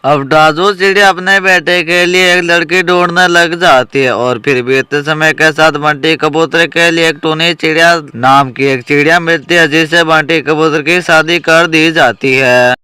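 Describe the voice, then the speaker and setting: A woman's voice: sits low, fairly even intonation, moderate pace, hardly any pauses, bright, forceful tone, smooth, no room sound. A woman narrating a scripted children's cartoon story.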